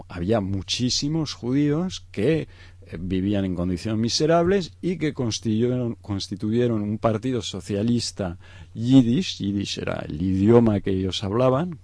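A man's voice: lowish voice, warm tone, no echo. A middle-aged man from Egypt explaining things in an unscripted interview, hesitant, searching for words and often adding tag questions. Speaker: interview guest.

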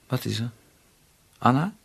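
A man's voice: mid-range voice, animated, unhurried, creaky, a bit bright, husky, exaggerated voice. A male actor in a radio play playing a breezy, defensive middle-aged husband. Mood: guarded.

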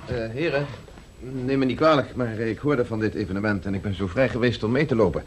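An actor playing a curious, politely doubtful reporter in a 1970s radio play. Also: male voice, medium pitch, a little fast, background noise, lightly acted. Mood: skeptical. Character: inquisitive male newspaper reporter.

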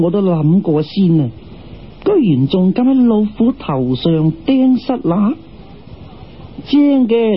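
一个男人用粤语口音扮演傲慢嘅女牛精，语带嘲讽同威吓，录音闷闷哋。. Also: male voice, high-pitched, breathy edge, heavily acted. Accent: Cantonese. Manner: slow, scornful taunting. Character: haughty female ox-demon villain, mocking and threatening. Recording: dull, muffled radio-style recording.

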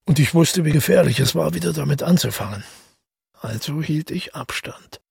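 An actor playing a brooding, haunted man who tells his past in a halting, intimate audio drama monologue with a husky, gravelly voice. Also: on the high side, expressive, a little mellow, no echo, clean studio sound, full character voice. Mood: uneasy recollection. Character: brooding, haunted male first-person narrator recalling the past.

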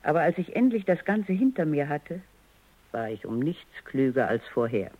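A dull-sounding radio drama recording of an exacting woman robot psychologist. Her pitch moves widely as she speaks with precise, insistent phrasing, sounding firm and serious. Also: big pitch swings, normal speed. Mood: measured, narrating. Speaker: lead actor and first-person narrator. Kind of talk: radio drama acting and narration.

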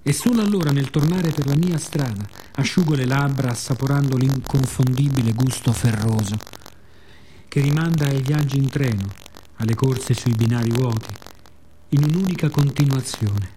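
Mellow Roman man telling a reflective story. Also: mid-range voice, moderate pace, slight background noise, low-quality recording. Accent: Roman Italian. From Rome, Italy. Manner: reflective, wry, with colloquial asides. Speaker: writer-performer of radio theatre monologues. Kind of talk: scripted storytelling monologue, conversational and literary.

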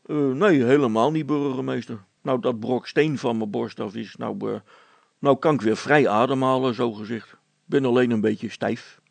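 A man's voice: mid-range voice, normal speed, vocal fry, no echo. A man voicing a gloomy, whining village policeman in a storybook, plaintive, grumbling and hesitant.

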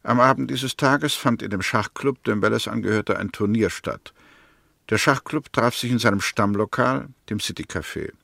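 Methodical prosecutor, lowish gravelly voice, formal, precise, firm, 1960s German radio drama. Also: male voice, normal speed, fairly bright tone, dry recording, studio quality. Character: male prosecutor, methodical, firm, convinced of guilt. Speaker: actor in a radio play. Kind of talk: 1960s German radio drama acting, courtroom-style argument.

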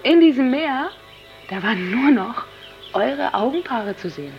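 An actress playing an awestruck young woman in a radio drama, searching for words in halting, broken-off sentences on a lo-fi recording. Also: on the high side, expressive, creaky. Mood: insistent, amazed. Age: young adult. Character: awestruck young woman groping for words after an LSD trip. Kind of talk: naturalistic radio drama dialogue. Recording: dull lo-fi tape, music underneath.

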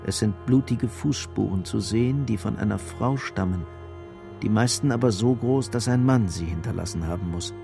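A man's voice: on the low side, normal speed, creaky. A man narrating a crime radio drama in a grave, detached, scripted style. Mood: sober, detached. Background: music.

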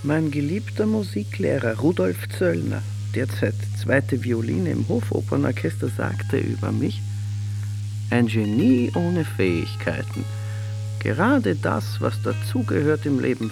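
A man giving a measured, deliberate reading of literary prose as an audiobook narrator. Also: mid-range voice, very animated, creaky, darkish tone, low-quality recording. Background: faint music behind the voice.

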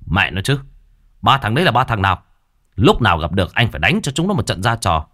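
Storyteller as a gruff hot-tempered young man, dry close sound. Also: fairly low pitch, very animated, no room sound, heavily acted. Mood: angry. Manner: forceful, gruff, fast.

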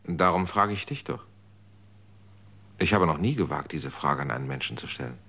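A skeptical questioner in a radio play, with a very deep voice that still swings widely up and down. He keeps probing and doubting, asking question after question. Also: expressive, normal speed, vocal fry, sharp tone, slightly rough, heavily acted. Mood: confiding. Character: doubting man questioning a world of fixed death dates. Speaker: radio drama actor. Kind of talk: German radio play acting.

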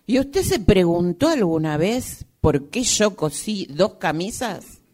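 A woman acting as a weary, aggrieved seamstress who protests haltingly to her boss in an improvised radio drama. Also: mid-range voice, sing-song, a little mellow. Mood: indignant.

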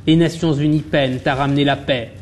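A man's voice: on the high side, fairly even intonation, fairly quick. Man reading a news report, faint noise. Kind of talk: scripted news report narration.

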